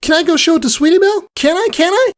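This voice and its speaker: A man voicing an eager, excitable little girl pony from a cartoon. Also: very high voice, quick pace, pitched above the performer's own voice. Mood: thrilled, pleading.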